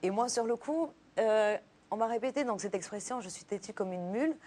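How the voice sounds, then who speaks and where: A woman's voice: medium pitch, animated, brisk, mellow. A woman in her twenties with a Portuguese accent, chatting as a guest on a talk show and hesitating with lots of fillers. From Luxembourg. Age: 20s. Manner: hesitant, many fillers and restarts. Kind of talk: casual interview chat.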